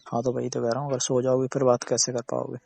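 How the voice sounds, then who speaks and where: A man with a husky, gravelly voice chatting casually and intimately on a phone call, coaxing and reassuring. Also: mid-range voice, monotone, quick pace, darkish tone. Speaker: romantic partner on a phone call.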